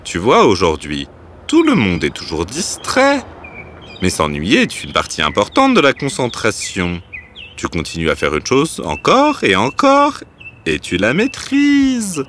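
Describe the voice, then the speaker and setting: A man playing a kind, wise uncle who gently instructs his young niece in an animated fairy tale. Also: medium pitch, a little slow, a little hiss or hum. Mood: calm, encouraging.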